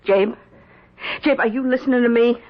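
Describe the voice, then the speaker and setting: A woman's voice: fairly high pitch, fairly even intonation, normal speed, lightly acted. A woman playing a plain-spoken, middle-aged country aunt in a radio drama, with a folksy, earnest manner. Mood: urgent, earnest. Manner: folksy, urgent, flustered under pressure. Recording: dull, muffled old radio broadcast.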